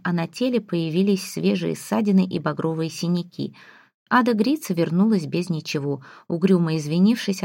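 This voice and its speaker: Female audiobook narrator, lowish creaky voice, expressive first-person fiction reading. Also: no room sound, studio quality.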